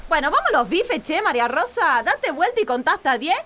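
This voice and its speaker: A woman's voice: high-pitched, very animated, brisk, fairly bright tone, a little hiss or hum. An Argentine woman acting a bossy, mocking kidnapper villainess in a comic radio drama, scornful and haughty. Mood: gloating. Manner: scornful, haughty, barking orders, cackling.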